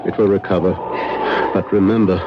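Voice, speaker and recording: An actor playing a grave, commanding older professor who hunts vampires, speaking solemnly and deliberately in a 1970s radio drama. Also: male voice, low-pitched, steady tone, close to an everyday voice. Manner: solemn, deliberate, urgent instruction. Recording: dull noisy 1970s radio broadcast.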